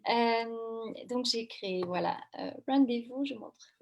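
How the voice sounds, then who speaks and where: French woman guest, bit high-pitched, stops and restarts a lot. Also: slow, creaky. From France, Brittany. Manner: hesitant, many fillers and restarts. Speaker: running coach and French teacher. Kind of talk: casual interview answers.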